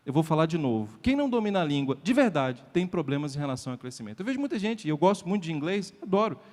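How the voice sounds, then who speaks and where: Emphatic high-voiced Brazilian man lecturing. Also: big pitch swings, rapid-fire, darkish tone. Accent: Brazilian Portuguese. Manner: emphatic, repeats key lines, rhetorical questions to the audience. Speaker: lecturer at a sales event. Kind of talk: motivational lecture. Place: event hall before a live audience. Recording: reverberant hall sound.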